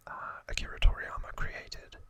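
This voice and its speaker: A man hosting an ASMR video, whispering trivia in a breathy, unvoiced, soft and informal way. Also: dry recording. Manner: soft, unhurried, informal retelling.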